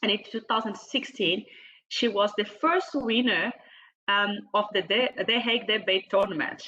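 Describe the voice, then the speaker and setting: A woman moderating an online panel, introducing a speaker formally in a halting, hesitant way, partly reading from notes. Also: medium pitch, bright, forceful tone, lo-fi sound. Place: online video meeting.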